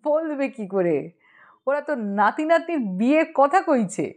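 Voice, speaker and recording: Woman voicing cheeky young man, cheerful joker, gossipy, teasing, animated conversational delivery, audiobook character. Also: high-pitched, unhurried. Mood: gleeful gossip.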